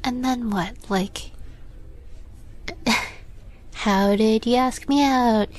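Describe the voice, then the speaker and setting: A woman playing a shy young catgirl roommate in an intimate roleplay audio, halting and nervous with a playful streak, often softening to a near whisper. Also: on the high side, a little hiss or hum. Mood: nervous, hesitant.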